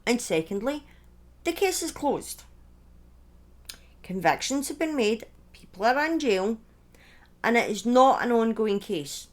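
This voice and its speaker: A woman narrates true crime as if chatting to followers. Her intonation is very lively, moving widely up and down. She breaks her phrases up and steps out of the script for informal, opinionated asides. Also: on the high side, unhurried, long pauses. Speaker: true-crime storyteller, streamer. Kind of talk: conversational true-crime storytelling, part scripted.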